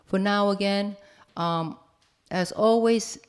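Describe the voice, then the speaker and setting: A woman's voice: medium pitch, fairly even intonation, slow, smooth. A woman hosting a music production tutorial, talking to viewers in a casual, chatty, informal way. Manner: informal, chatty, addresses viewers as "you guys".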